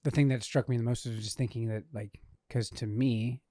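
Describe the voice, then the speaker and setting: Man, podcast co-host, mellow voice, dry close sound, earnest, halting. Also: mid-range voice, steady tone, dry recording. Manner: hesitant, many restarts, earnest. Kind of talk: casual podcast conversation.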